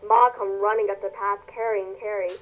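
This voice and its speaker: Through a thin, telephone-like connection, a female reader reads a children's novel aloud. Her delivery is careful, with occasional stumbles and corrected words. Her pitch is average for a woman. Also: steady tone, normal speed, soft background music. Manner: careful reading with word stumbles and self-corrections. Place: remote call. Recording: tinny, narrow phone-like call audio.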